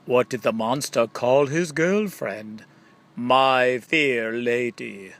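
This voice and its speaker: A man with a creaky, gravelly edge reads jokes and riddles for children. He takes his time, setting up each question and stretching out the punchline. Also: medium pitch, a bit bright. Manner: question-and-answer delivery with drawn-out punchlines. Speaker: reader.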